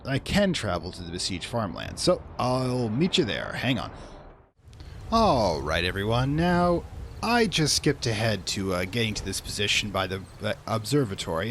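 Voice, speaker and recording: A man's voice: fairly low pitch, a little hiss or hum. A man giving casual, wry let's play commentary as host, chatty and given to muttered asides.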